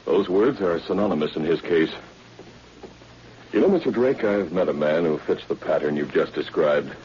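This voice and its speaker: A man playing a brisk, probing government intelligence chief in 1940s radio style, with an American accent and an authoritative manner, on a muffled, hissy old recording. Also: sits low, lively intonation. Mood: probing, measured.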